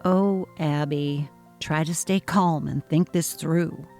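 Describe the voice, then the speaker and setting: Brief: woman reads young husband, placating and evasive, lowered voice, dramatic text-story narration. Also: fairly even intonation, slow pace, gravelly, a little mellow, soft background music, full character voice. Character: worried young husband, placating and conflict-avoidant.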